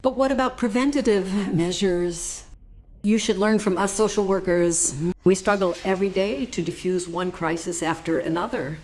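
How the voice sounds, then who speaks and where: A woman's voice: mid-range voice, normal speed, a little mellow, a little hiss or hum, close to an everyday voice. An actress playing an embittered, combative female social worker in a radio drama, indignant and sarcastic.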